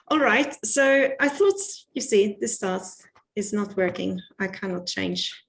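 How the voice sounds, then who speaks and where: A woman's voice: mid-range voice, animated, measured pace, creaky, slight background noise. A middle-aged woman with a Swedish accent, presenting her research in a webinar over a video call, chatty and self-deprecating with frequent restarts. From Sweden. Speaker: guest speaker, researcher.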